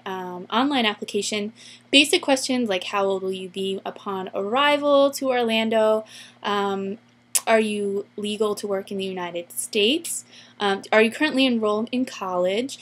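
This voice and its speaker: A young adult woman giving casual, upbeat advice in a chatty vlog style, stretching words for emphasis. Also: medium pitch. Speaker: vlogger giving interview advice.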